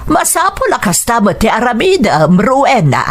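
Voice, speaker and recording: A woman's voice: fairly high pitch, wildly swooping pitch, normal speed. Exacting older mother-in-law. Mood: insistent, exacting. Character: exacting older mother-in-law, nagging about household duties. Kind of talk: radio drama acting.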